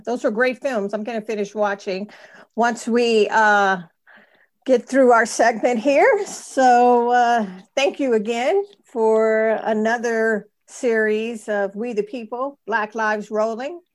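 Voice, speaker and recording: A woman's voice: fairly high pitch, takes it slowly. A woman hosting a radio interview, introducing her guest in a chatty, friendly way. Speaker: host.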